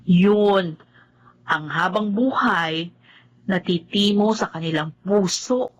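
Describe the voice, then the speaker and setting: A woman's voice: mid-range voice, takes it slowly, lo-fi sound. A woman giving solemn, scripted, reflective narration.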